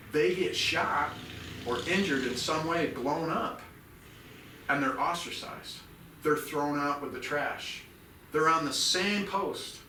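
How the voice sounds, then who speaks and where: A man's voice: a little high, pushed and bright, slight background noise. A middle-aged man telling his story as a podcast guest, earnest and rambling. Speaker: podcast guest, veteran nonprofit founder. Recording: roomy and distant from the mic.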